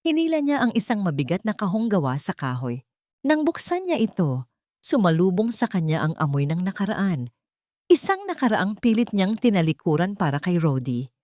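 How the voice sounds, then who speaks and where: A woman narrates a dramatic story from a script in a Filipino accent. Her voice sits a little low for a woman. The sound is very dry, with no room around it. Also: big pitch swings, moderate pace, darkish tone, no echo.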